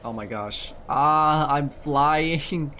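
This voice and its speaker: A man's voice: on the high side, very slow, sharp tone, slight background noise. A young man giving casual, reactive playthrough commentary as a gaming streamer, full of short exclamations. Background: game music.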